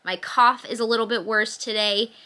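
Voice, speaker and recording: A woman's voice: fairly high pitch, fairly even intonation, moderate pace, bright, forceful tone. A young woman, sick with a fever and a little congested, chatting to the camera from bed at home and laughing through her complaints. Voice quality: occasional cough, slightly stuffy nose. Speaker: vlogger. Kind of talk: casual chat to camera. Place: in bed at home, bundled in a sweatshirt.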